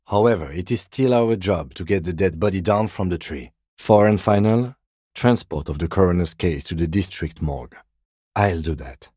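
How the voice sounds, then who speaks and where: Game role: a deadpan, dutiful detective lieutenant; very low, dark voice, restrained and formal. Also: male voice, normal speed, husky, no room sound, studio quality. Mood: dry, composed. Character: composed, dry, dutiful male police lieutenant detective. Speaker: voice actor in a video game. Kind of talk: scripted video game dialogue.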